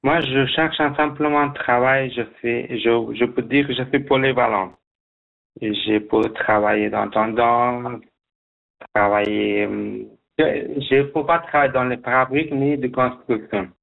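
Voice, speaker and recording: A man from Afghanistan, speaking as a guest in a radio interview, halting, with many restarts. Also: medium pitch, fairly even intonation, vocal fry, narrow, tinny audio. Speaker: guest job seeker.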